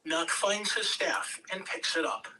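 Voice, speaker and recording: Table-read narrator: bright forceful voice, unhurried delivery, theatrical, picked up at a distance. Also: mid-range voice, steady tone. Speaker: script reader at a table read. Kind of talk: expressive amateur reading of screenplay directions. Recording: distant home mic, background noise.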